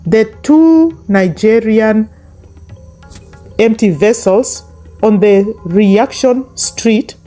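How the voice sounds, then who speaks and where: A woman for whom English is a second language delivers a YouTube commentary monologue. Her voice sits warm and mellow, with soft music in the background. She drives her points home by repeating them. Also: medium pitch. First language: not English. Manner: emphatic, repetitive, speaks straight to viewers.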